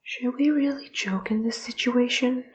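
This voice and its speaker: A woman playing a timid, doubtful young companion in an audio drama, quiet and hesitant. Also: fairly high pitch, measured pace, highs cut off, lightly acted. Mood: uneasy. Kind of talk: amateur audio drama acting.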